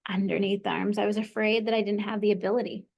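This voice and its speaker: A woman's voice: mid-range voice, level tone, quick pace, creaky, darkish tone. Encouraging woman guiding a tapping session. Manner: encouraging, leads phrases for repeating. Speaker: tapping practitioner.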